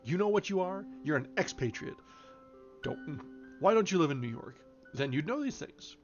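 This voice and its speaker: A man reads the part of a loud, teasing fellow who mock-preaches at his pal. The delivery is declamatory and needling, in the manner of acted audiobook dialogue. The sound is a little dull, with music underneath. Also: on the high side, animated, moderate pace, pitched above the performer's own voice, close to an everyday voice. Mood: mock scolding. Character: Bill, boisterous teasing American man, mock-preachy wisecracker. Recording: dull lo-fi recording, music behind.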